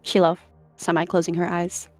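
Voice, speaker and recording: A woman's voice: on the low side, monotone, moderate pace, no room sound. A woman narrating her part of a scripted animal roleplay story. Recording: music behind the voice. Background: music.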